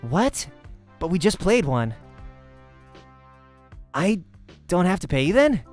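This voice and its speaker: Man acting cocky young pro gamer, hot-tempered, brash slangy talk, very lively pitch swings, faint music behind. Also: slow, full character voice. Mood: puzzled.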